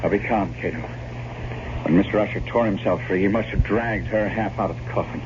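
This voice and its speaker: A man acting a resolute gentleman in an old-time radio horror drama, with a commanding, dramatic delivery. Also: fairly low pitch, a little fast, lightly acted. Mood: firm. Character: resolute gentleman visitor in a gothic horror tale. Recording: muffled, very noisy old radio recording.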